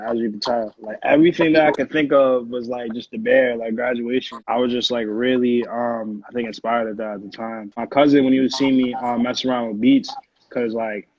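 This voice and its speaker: A man's voice: medium pitch, darkish tone. A man in his twenties with an American accent, chatting casually as an interview guest, with slangy, profane speech. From Connecticut, United States. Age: 20s. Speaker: interview guest, music producer. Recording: dull, roomy lo-fi call audio.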